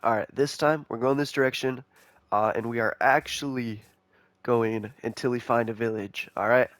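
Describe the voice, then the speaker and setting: A young man giving casual, rambling commentary over a game, excitable and chatty with viewers, his voice a little nasal from a cold. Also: mid-range voice, moderate pace, no room sound. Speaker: gaming YouTuber.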